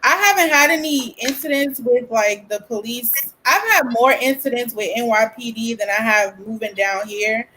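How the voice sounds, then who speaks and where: A woman hosting a casual group podcast chat, animated and teasing, with a lot of exclamations. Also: fairly high pitch, moderate pace, few pauses, rough recording. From US South. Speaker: podcast host. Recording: faint background noise.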